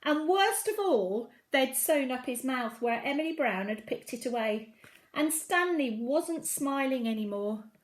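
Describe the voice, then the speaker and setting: Female primary-school storyteller: high voice, swooping intonation, expressive and emphatic children's read-aloud. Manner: dramatic, emphatic storytelling with drawn-out key phrases.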